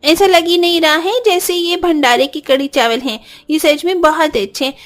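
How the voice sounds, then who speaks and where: Cartoon small boy played by a woman: very high, innocent, eager, exclaiming. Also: normal speed. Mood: delighted. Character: eager hungry little boy, innocent and excited.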